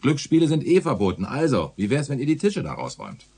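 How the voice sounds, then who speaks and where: An actor playing a weary, dryly sardonic homicide detective in a naturalistic radio drama, speaking in a laconic way. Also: male voice, mid-range voice, very animated, quick pace, a little mellow, slightly rough. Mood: brisk, firm.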